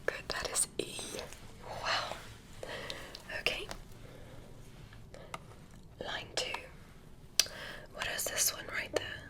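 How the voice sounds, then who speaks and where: A woman whispering as a curious alien doctor who examines a human, softly gushing with praise in a slow, soothing ASMR roleplay. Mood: curious, delighted. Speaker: ASMR creator.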